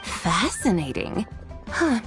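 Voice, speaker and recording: An ancient, aloof female spirit in a fantasy game. She speaks slowly in a high, expressive voice, lofty and amused by mortals, with faint music behind. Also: full character voice. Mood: intrigued. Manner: lofty, deliberate, inquisitive. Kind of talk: video game character acting.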